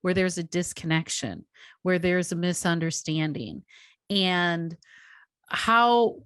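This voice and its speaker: A woman with a fairly low voice reflects aloud in a thoughtful, rambling manner, circling back through her own sentences. Her voice sounds dry and close, with no room echo. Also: steady tone, slow, a bit bright, clear, resonant tone. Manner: thoughtful, rambling, many fillers like "you know". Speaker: teacher and podcast co-host. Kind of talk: reflective casual chat.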